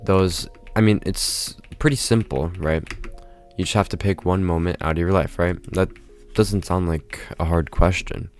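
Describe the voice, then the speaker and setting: A man's voice: sits low, fairly even intonation, moderate pace, faint music behind. A congested man speaking casually to his viewers in a rambling monologue, tagging nearly every phrase with "right?". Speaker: vlogger.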